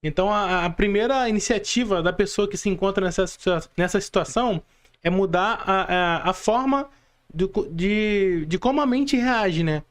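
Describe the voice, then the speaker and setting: A man with a Brazilian accent hosting a casual podcast interview, haltingly rephrasing and checking for agreement as he goes. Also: high-pitched. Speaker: podcast host.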